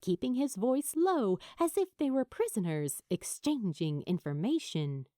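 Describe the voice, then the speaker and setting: A woman narrating fiction from a script in a measured, expressive storytelling manner. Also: a little high, dry recording, clean studio sound. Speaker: amateur audiobook narrator.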